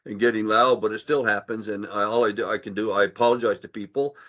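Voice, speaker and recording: A middle-aged man with a deep, strong voice, speaking earnestly on a panel, repeating words and getting loud when excited. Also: fast talker, dull audio. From Canada. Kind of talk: panel discussion.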